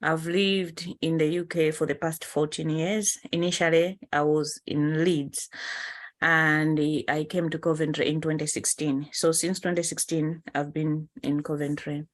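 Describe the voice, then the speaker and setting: A woman from Malawi, a podcast guest, talking casually and haltingly with fillers. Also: low-pitched, flat delivery. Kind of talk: casual conversation.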